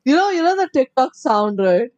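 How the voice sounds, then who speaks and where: A young woman co-hosts a laid-back true-crime conversation. Her voice sits a little high, and she keeps jumping in with quick reactions and opinions. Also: very animated, a little mellow, dull audio. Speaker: podcast co-host. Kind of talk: casual true-crime chat.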